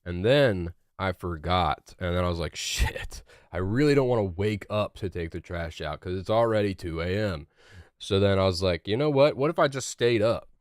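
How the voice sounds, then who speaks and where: Laid-back young man with a low voice. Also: animated, normal speed, clear, resonant tone, no room sound, clean studio sound. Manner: rambling, filler-heavy, laid-back. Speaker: streamer. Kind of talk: casual livestream chat while gaming.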